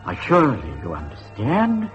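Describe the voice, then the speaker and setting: An actor playing a flustered, evasive Victorian university head, speaking formally and haltingly. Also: male voice, mid-range voice, huge pitch swings, highs cut off, faint music behind. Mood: flustered, defensive. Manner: hesitant, faltering, formal. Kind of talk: period radio drama acting.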